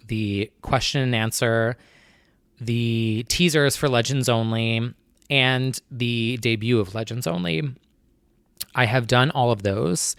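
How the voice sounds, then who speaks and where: A man's voice: mid-range voice, creaky, fairly bright tone, smooth, no room sound, clean studio sound. A young man hosting his own podcast, talking in a casual, anxious, rambling way full of fillers and restarts. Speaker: podcast host. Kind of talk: casual solo chat.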